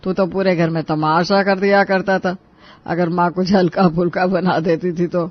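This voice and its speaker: Man acting high-voiced put-upon elder brother. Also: little pitch movement, moderate pace, dull audio, lightly acted. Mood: probing. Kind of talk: dramatic Hindi cartoon dialogue.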